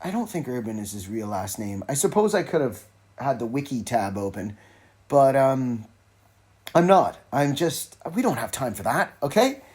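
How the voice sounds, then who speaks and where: A man's voice: mid-range voice, big pitch swings, normal speed, darkish tone. A man hosting a podcast alone, rambling casually about music with wry, informal asides and frequently interrupting himself. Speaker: podcast host. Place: informal solo recording with a phone at hand.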